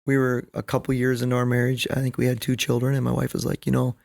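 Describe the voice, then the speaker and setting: Middle aged guy on a podcast, gravelly fry in his voice, earnest, kinda preachy emphatic, tells little stories. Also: mid-range voice, completely flat delivery, quick pace, a little mellow. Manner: earnest, emphatic, anecdotal, often checks in with "right?" and "you know". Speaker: podcast guest, basketball coach. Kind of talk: conversational podcast interview.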